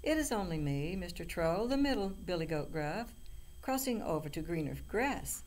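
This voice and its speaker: A woman's voice: lowish voice, very animated, normal speed, a little mellow. A woman voicing a medium-sized male billy goat in a storybook, pleading emphatically in a plain voice.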